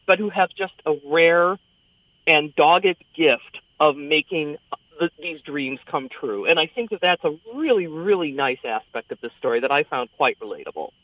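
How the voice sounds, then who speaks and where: A woman's voice: deep voice, expressive, normal speed, no echo. Female theater critic, conversational and keen, with narrow telephone-style audio and no room sound. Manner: chatty, enthusiastic. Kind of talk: conversational radio review. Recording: thin phone-like sound, dry.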